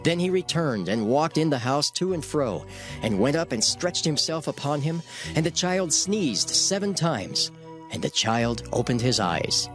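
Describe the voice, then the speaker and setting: A man narrating dramatized scripture in a measured, solemn storytelling manner. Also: on the high side, very animated, a bit bright. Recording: faint music behind the voice.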